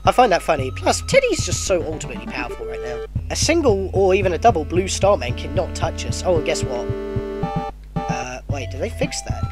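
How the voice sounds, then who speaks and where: A man with a stuffy nose from a cold, casually commenting on his gameplay as the host, chatty and correcting himself as he goes, getting excited at battles. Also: fairly high pitch, brisk, over loud music. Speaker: let's play host.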